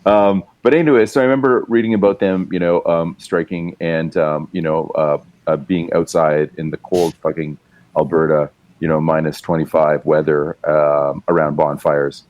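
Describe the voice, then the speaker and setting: Deep-voiced laid-back storyteller man. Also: fairly even intonation, normal speed, dry recording, lo-fi sound. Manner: rambling storyteller, laid-back, swears casually. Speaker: guest musician and writer. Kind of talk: casual podcast interview. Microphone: remote call mic.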